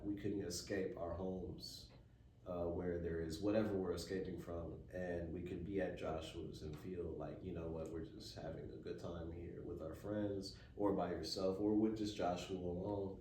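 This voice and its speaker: An American man telling stories casually on a podcast in a laid-back, slangy, halting way. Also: low-pitched, little pitch movement, dark tone. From born in Memphis, USA. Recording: roomy, distant mic, faint background noise.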